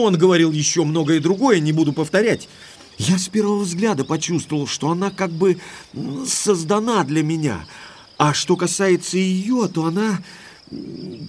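An actor playing an indignant, lovestruck young English baronet in an audio drama, speaking emphatically like an exasperated gentleman. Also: high voice, a little hiss or hum.

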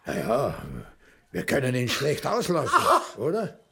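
A man doing a gruff scheming farmer. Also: medium pitch, huge pitch swings, unhurried, background noise, pitched above the performer's own voice. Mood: cold plotting. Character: callous scheming male farmer.

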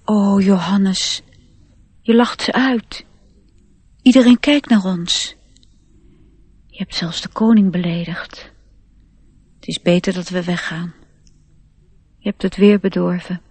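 A woman playing a proud, earnest young male elf in a radio play, lofty and formal, with a husky voice. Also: medium pitch, takes it slowly, long pauses, a little mellow, no room sound. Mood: reproachful. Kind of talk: Dutch radio play acting.

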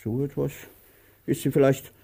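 An actor playing a pompous, bullying, fussy master with a husky, rough voice in a theatrical radio play. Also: male voice, mid-range voice, flat delivery, normal speed, warm tone. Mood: fussy, ceremonious. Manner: barks orders, then lapses into ceremonious politeness.

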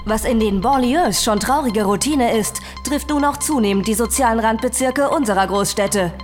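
An actress playing a serious, urgent television news presenter, reading formally in a radio drama. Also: female voice, a little high, moderate pace, faint music behind, lightly acted. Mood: grave, formal. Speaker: news anchor.

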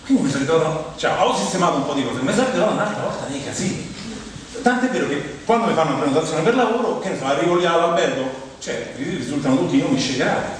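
A middle-aged man with a Roman Italian accent performing a humorous, conversational stage monologue, rambling and animated as he tells stories and addresses his listeners. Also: a little high, very fast. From Rome, Italy. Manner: animated, rambling, acts out quoted dialogue, addresses the audience. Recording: very echoey hall, distant mic, clear background noise.

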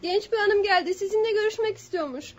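An actress playing a deferential household maid in a radio play, speaking politely. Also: female voice, extremely high pitch, fast. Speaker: radio drama actor. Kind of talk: Turkish radio theater acting.

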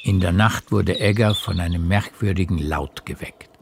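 A man narrating a radio play as a literary storyteller, with measured, deliberate phrasing. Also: deep voice, gravelly, noisy background.

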